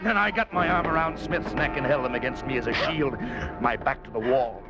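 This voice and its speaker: A man's voice: medium pitch, fairly quick, natural voice. An actor playing a hard-boiled, wisecracking adventurer in an old radio drama, telling his story in a dry, clipped, tough-guy way. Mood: tense. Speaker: actor narrating as the hero. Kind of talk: 1940s-50s radio drama acting. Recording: muffled old radio recording, loud music.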